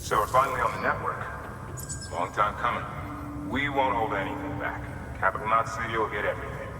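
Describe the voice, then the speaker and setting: An actor playing a cautious but friendly, casually plainspoken cargo inspection worker in a video game. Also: male voice, lowish voice, brisk, natural voice. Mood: earnest, grateful. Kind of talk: video game dialogue acting. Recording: distant echoey hall, noisy machinery ambience.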